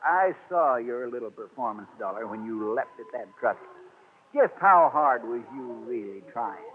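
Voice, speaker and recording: A man's voice: medium pitch, animated, moderate pace, lightly acted. Rural American lawman male actor: dim, huffy, hesitant, vintage radio drama, thin tinny sound. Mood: suspicious. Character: slow-witted, suspicious, blustery rural male county sheriff. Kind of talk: 1940s radio character acting. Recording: thin, hissy old radio broadcast.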